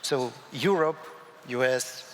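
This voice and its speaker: A man's voice: medium pitch, slow, a little hiss or hum. A man moderating a conference panel, brief and questioning. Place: large conference hall. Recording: distant hall reverb.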